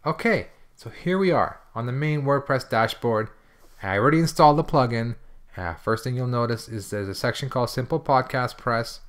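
Man, medium pitch, casual tutorial narration, informal, step-by-step explaining, software demonstrator. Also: moderate pace. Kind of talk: casual screencast tutorial walkthrough.

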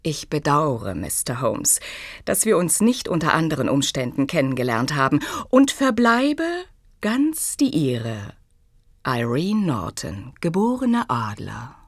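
A woman's voice: deep voice, very animated, a little slow, no room sound, studio quality, full character voice. An actress playing a clever, self-possessed young woman in a German audio drama, poised, formal and faintly teasing. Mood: resolute, gracious.

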